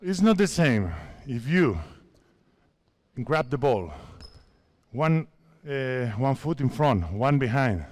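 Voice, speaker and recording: A man's voice: mid-range voice, very animated, slow, darkish tone. Spanish man in his fifties coaching basketball. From Spain. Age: 50s. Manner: instructive, coaching players with short commands. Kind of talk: coaching clinic on court. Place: large indoor sports hall. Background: basketballs bouncing.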